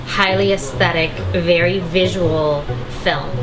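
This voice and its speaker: A woman's voice: lowish voice, steady tone, slow, music in the background, low-quality recording. Chatty enthusiastic woman on a talk stream. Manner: enthusiastic, chatty, stretches key words. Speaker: actress guest. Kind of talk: casual interview chat.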